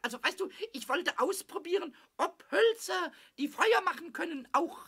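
An actor playing a mischievous, childlike little male kobold in a children's radio play, squeaky and excitable, often stammering. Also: normal speed, exaggerated voice. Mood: sly, innocent. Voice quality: squeaky, high-pitched. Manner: excitable, stammering, gleeful wordplay.

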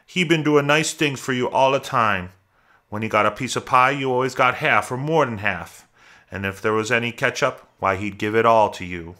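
A man puts on a scolding old country aunt from a storybook, raised above his usual pitch. The delivery is sharp and nagging, stern and full of reproach, with a forceful, bright edge. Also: moderate pace, close to an everyday voice. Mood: reproachful. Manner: sharp nagging rustic talk.

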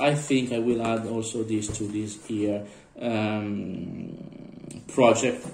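A hoarse man with a cold and a sore throat, showing collectible figurines in a casual vlog, speaking English as a second language with many fillers and throat clearing. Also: mid-range voice, roomy sound, low-quality recording. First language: Italian. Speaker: vlogger. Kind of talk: casual unboxing commentary. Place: indoors at a table.

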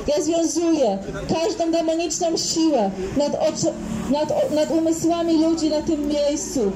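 High-voiced woman preaching fervently outdoors. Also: steady tone, measured pace, a crowd in the background, low-quality recording. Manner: fervent, repetitive declarations. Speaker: street evangelist. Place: outdoor open-air market by a busy road. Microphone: handheld microphone, far camera.

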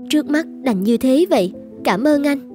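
High-voiced gentle young bride. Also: female voice, expressive, normal speed. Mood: hesitant. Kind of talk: cartoon fairy-tale dubbing. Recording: music behind the voice.

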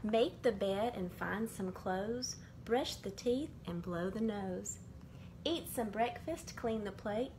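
A middle-aged woman reading a rhyming children's picture book aloud in a measured, sing-song way, as bedtime stories from a bedroom. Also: medium pitch, lively intonation. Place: small indoor bedroom. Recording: clearly noisy amateur home recording.

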